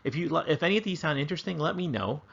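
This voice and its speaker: An American man explaining history in a relaxed, casual lesson, with fillers and restarts. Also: on the high side, very fast, gravelly, slightly rough, dull audio. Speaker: teacher.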